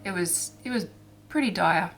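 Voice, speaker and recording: A woman hosting her own crafting vlog in casual chat, her voice hoarse and raspy from throat irritation, talking softly and haltingly with frequent restarts. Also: lowish voice, faint music behind. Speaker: cross-stitch vlogger.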